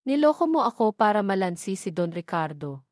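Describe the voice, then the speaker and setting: Brief: skeptical young heroine, pointed and sarcastic, read by the narrator. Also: female voice, mid-range voice, sing-song, brisk, a little mellow, no echo, clean studio sound, lightly acted. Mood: doubtful. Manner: doubtful, sarcastic. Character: wary young woman, sharp-tongued.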